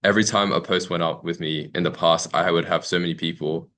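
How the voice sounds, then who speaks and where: Low-pitched young man presenting. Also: fairly even intonation, quick pace, a bit bright, a little hiss or hum. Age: young adult. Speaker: youth committee member presenting. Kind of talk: informal presentation to an online meeting.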